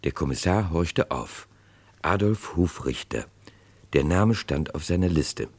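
Very deep, dry male crime narrator. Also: lively intonation, a little slow, husky, no echo. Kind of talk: scripted radio crime play narration.

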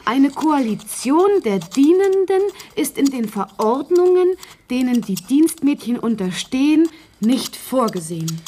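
An actress playing an earnest housemaid in a radio play, composing a written report in a deliberate, word-by-word manner. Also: female voice, sits high, big pitch swings, dark tone, a little hiss or hum. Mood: earnest, concentrated.

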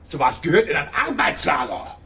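A gruff, contemptuous caretaker, high-pitched for a man and swinging wildly in tone. He scolds and sneers like a petty house tyrant in an old radio play. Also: very animated, a little fast, heavily acted. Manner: gruff, contemptuous, bursts into shouting. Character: hostile bigoted male building caretaker. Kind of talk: radio drama acting.

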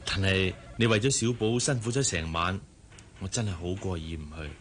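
An actor with a Hong Kong Cantonese accent playing a gentle, coaxing father and boss in a radio drama. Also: sits low, normal speed, slight background noise. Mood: friendly, persuasive. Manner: soft, coaxing. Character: gentle, persuasive male boss and young father.

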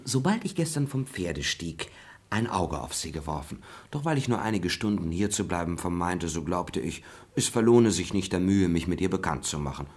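Husky, roguish manservant with swooping delivery. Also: male voice, low-pitched. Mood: smarmy flirting. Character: roguish, flirtatious manservant, chatty and evasive. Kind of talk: classic German stage comedy as radio play.

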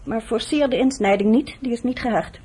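A woman's voice: fairly high pitch, brisk, darkish tone, breathy edge. An actress playing a weary, bitter woman who nurses the man she loves, in a reproachful, emotional radio drama style. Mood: businesslike.

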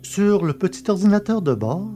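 A man's voice: sits high, sing-song, normal speed, warm tone, faint music behind. A man narrating a scripted science-fiction adventure story as its dramatic narrator.

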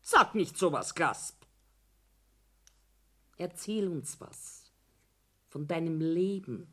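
A woman's voice: fairly low pitch, animated, slow, pushed and bright, exaggerated voice. An actress with a Bavarian accent playing a fussing, nosy, mothering woman in a 1960s German radio play. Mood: nagging, coaxing.

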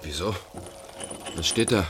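An actor in a German radio play as a reserved, precise foreign man on the run, speaking in a measured, formal way. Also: low voice, very noisy. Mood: insistent. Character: reserved, precise foreign male fugitive among car thieves.

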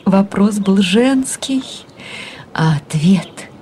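A woman narrating a story in an audio drama, measured and in short phrases. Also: medium pitch, expressive, a little mellow, a little hiss or hum.

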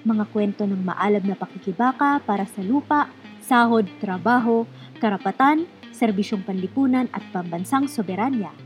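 A woman's voice: medium pitch, moderate pace, soft background music. A woman hosting a scripted radio show, speaking to listeners directly in an exhortative, conversational way. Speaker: radio program host.

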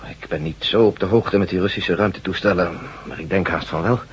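A male actor playing a groggy general in a science-fiction radio drama, hesitant and musing as his wits slowly return. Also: low-pitched, breathy edge. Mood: dazed, cooperative. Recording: muffled old radio drama recording.

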